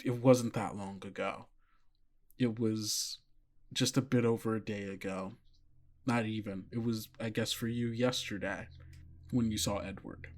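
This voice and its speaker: A game master improvising horror narration for a tabletop game in a halting, conversational way. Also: mid-range voice. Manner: halting, conversational, frequent 'like' and 'you know'.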